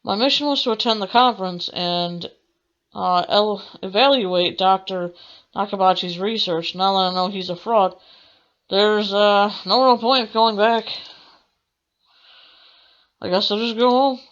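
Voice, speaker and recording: A woman's voice: medium pitch, unhurried, pauses often, highs cut off. A young woman let's player reading visual novel text aloud, expressively and with the odd stumble. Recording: game music behind the voice. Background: video game music.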